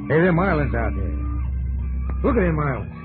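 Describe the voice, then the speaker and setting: An actor playing a plain-spoken, laconic frontier scout with folksy, drawling phrasing in a 1950s radio drama. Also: male voice, a little high, sing-song, normal speed, over music. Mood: dry. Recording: noisy, narrow-band 1950s radio recording.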